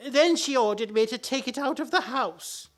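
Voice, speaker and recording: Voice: sits high, normal speed, fairly bright tone. An actor in a comic radio play playing a genial, timid, henpecked older father-in-law, hesitant and a little fussy, with old-fashioned politeness. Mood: rueful. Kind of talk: stage-comedy radio play acting.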